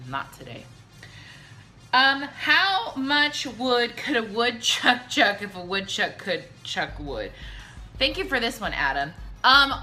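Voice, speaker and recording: Brash, bright-voiced tipsy woman vlogger. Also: medium pitch. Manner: brash, blunt, swears freely. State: drunk. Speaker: video host answering viewer questions. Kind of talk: casual chatty Q&A to camera.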